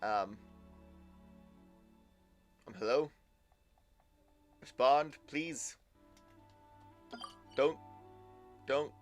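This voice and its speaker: Halting male game streamer chatting over faint music. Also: medium pitch. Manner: halting, repeats words, mild exclamations. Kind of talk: casual livestream chat and commentary.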